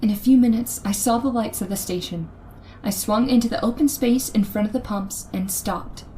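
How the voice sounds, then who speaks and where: A woman's voice: fairly high pitch, moderate pace, dark tone, close to an everyday voice. Woman acting a tense, frightened heroine, noisy recording. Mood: tense, ominous. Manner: dramatic, breathy gasps and hesitant stammers when afraid. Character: frightened young woman motorist, tense, narrating her terror. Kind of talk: old-time radio horror drama acting, suspenseful narration. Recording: noisy recording with ambient effects.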